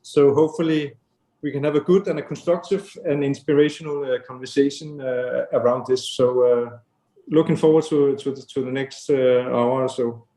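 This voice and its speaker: A man, an architect and design director, introducing himself politely on an online panel with halting fillers. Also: medium pitch, fairly even intonation, mellow, reverberant, low-quality recording. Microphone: video call.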